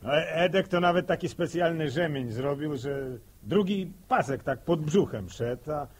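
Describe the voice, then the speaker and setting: A young farm lad, a sociable suitor in a radio play. His male voice sits fairly high and is rough and husky. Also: expressive, a little slow, darkish tone. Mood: chatty, amused. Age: young adult. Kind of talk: 1960s radio drama.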